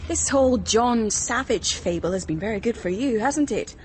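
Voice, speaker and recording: A woman's voice: a little high, expressive, normal speed, a little mellow, slight background noise, natural voice. An actress in a radio drama playing a sly, accusing woman with a pointed, taunting manner.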